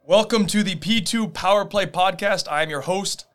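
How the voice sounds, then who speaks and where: A man's voice: high voice, moderate pace, a bit bright. A man hosting a podcast, upbeat and friendly with slangy chat. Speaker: podcast host. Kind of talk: casual podcast interview.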